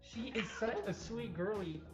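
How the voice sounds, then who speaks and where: A woman's voice: mid-range voice, unhurried. A woman co-hosting a cat cafe livestream with casual, unscripted chat indoors. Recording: roomy, dull livestream sound with music. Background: background music.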